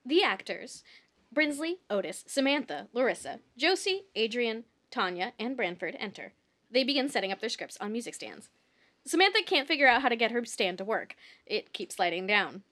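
A woman's voice: a little high, sing-song, moderate pace, sharp tone. A woman hosting a podcast and narrating, moving between casual chat and read stage directions.

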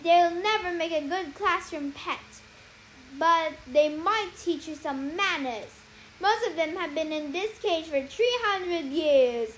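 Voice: very high-pitched, normal speed, a little hiss or hum, voice pushed up, low-quality recording. A young reader putting on a sugary scheming sorceress. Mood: scolding. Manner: sugary, scolding, condescending. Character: scheming sorceress woman, sweet and cruel. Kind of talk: story reading aloud.

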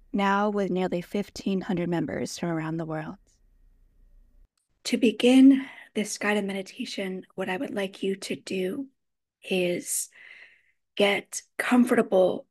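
A woman leading a guided meditation, giving gentle instructions in measured phrases with frequent pauses. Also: medium pitch.

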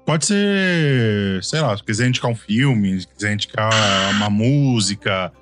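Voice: medium pitch, expressive, unhurried, over loud music. A podcast host asking the guest direct and polite questions. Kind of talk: interview hosting.

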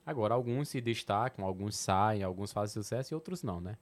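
A young man from Petrolina with a sertão Pernambuco accent, a comedian chatting on a podcast, animated, laughing often and swearing casually. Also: on the low side, brisk. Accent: Pernambuco sertão, São Francisco valley. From Petrolina, Pernambuco, Brazil.

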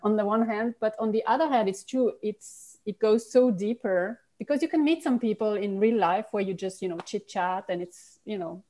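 A woman speaking English answers as an interview guest in a mellow, warm voice. She thinks aloud, circling back with fillers and restarts as she talks. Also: medium pitch, normal speed. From Switzerland. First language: French. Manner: reflective, rambling with many restarts and fillers. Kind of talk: casual conversational interview.

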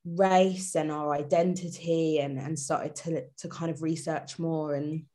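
A woman's voice: deep voice, little pitch movement, unhurried, dark tone. A woman guest recounting her background in a casual conversation, rambling with many "like" and "kind of" fillers. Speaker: guest, co-founder.

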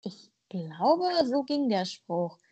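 A woman's voice: mid-range voice, very animated, slow pace, mellow, breathy edge, dull audio. A woman born in Germany co-hosting a podcast, talking bluntly and playfully in casual chat. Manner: blunt, playful, spins jokes out. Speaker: podcast co-host.

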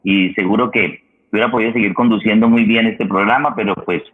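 A man's voice: on the low side, steady tone, a little fast, husky. A man with a Colombian accent hosting a programme off the cuff, often repeating words and restarting his sentences. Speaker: host of an online radio programme. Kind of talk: unscripted hosting talk. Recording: tinny, phone-like internet connection.

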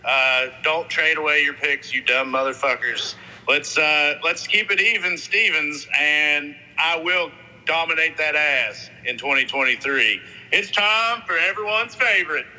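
Brash man hosting, very bright voice. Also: on the high side, unhurried, low-quality recording. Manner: brash, announcing, cussing. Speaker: host. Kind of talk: profane show hosting.

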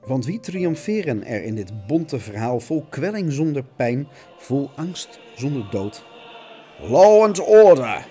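A man reads a scripted radio essay as a theatrical narrator. His pitch is very animated and his delivery is declamatory and mock-dramatic. Music plays behind him. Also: slow pace.

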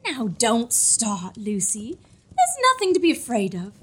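An actress playing a naive, petulant, jittery young sweetheart in a gothic comedy audio drama. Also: female voice, a little high, wildly swooping pitch, a little slow, fairly bright tone, slightly rough, heavily acted. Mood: nervous.

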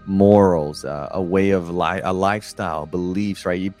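A man's voice: low voice, steady tone, moderate pace, darkish tone, soft background music. A man from South Texas talking reflectively in a casual conversation, often adding "right?" after his points. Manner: thoughtful, adds "right?" after phrases.

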